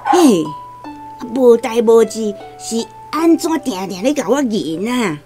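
Radio drama elderly Taiwanese grandmother: stubborn, plaintive, fretful, fairly high voice. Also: expressive, slow, over music. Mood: frightened, indignant. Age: older. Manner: plaintive, exclaiming, complaining. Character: stubborn, anxious, grumbling old Taiwanese grandmother living alone.

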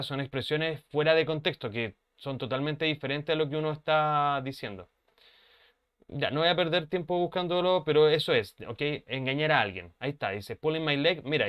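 A man runs an online lesson from home. His voice is smooth and resonant at a middle pitch, and he explains at length, pausing often to check that his students are following. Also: fairly bright tone. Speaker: English teacher. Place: home room, webcam.

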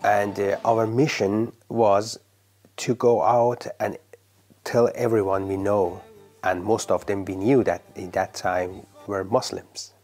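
Slow-spoken Iranian-born pastor, fading to whispers. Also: male voice, fairly low pitch, little pitch movement, a little mellow, faint music behind. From Iran. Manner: slow, halting, drops to a near whisper at phrase ends. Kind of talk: testimony interview.

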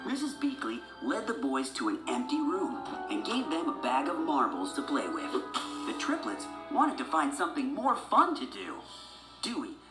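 A man's voice: fairly high pitch, wildly swooping pitch, moderate pace, echoey room, over loud music, rough recording. A man narrating a children's storybook from a script.

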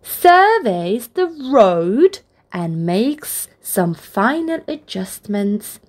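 A woman reading a picture book aloud for toddlers, with deliberate, expressive phrasing and encouraging asides. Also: mid-range voice. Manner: deliberate, expressive phrasing aimed at small children. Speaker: children's storybook reader, channel host.

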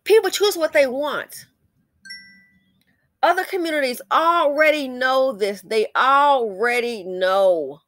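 A woman's voice: sits high, slow, a bit bright, no echo, clean studio sound. An American woman giving blunt, scolding, opinionated commentary with emphatic conversational asides and rhetorical questions as she reads out comments. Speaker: commentary channel host.

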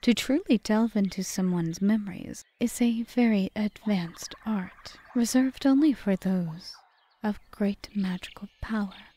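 Woman acting slow, whispery seductive witch. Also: medium pitch, close to an everyday voice. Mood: persuasive. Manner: formal, measured, softly whispery. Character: seductive, cunning, possessive female witch courting a witch hunter. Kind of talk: ASMR audio roleplay, scripted monologue.

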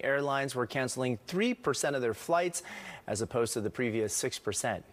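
A man in his forties anchoring a television newscast, reading scripted news in a studio. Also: mid-range voice. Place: TV studio, indoors. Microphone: lapel mic.

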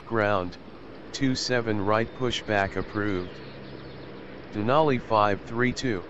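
A synthetic man plays a brisk male airline pilot in a simulator game, fairly low in pitch. His formulaic readbacks are clipped and come over a dull, noisy radio channel. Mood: brisk, procedural. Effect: radio filter.

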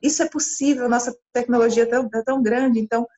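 A woman's voice: fairly high pitch, quick pace. Earnest Brazilian woman on a lo-fi video call. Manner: earnest, expressive with hand gestures. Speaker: missionary guest. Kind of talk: interview over video call. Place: small room indoors, sitting beside her husband. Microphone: laptop camera mic on a video call. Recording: dull lo-fi video call audio.

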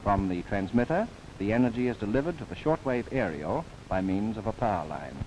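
A man narrating a scripted 1930s documentary film in a formal, measured, earnest manner. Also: lowish voice, expressive, a little mellow. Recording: noisy 1930s film soundtrack.

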